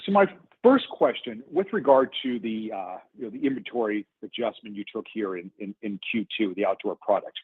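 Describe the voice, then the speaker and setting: A husky-voiced man works as an analyst questioning executives on an earnings call. His phrasing starts and stops, with lively swings in pitch, and he comes through a narrow telephone band. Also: a little fast, hardly any pauses, no room sound. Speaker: financial analyst asking questions. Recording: narrowband phone line, dry.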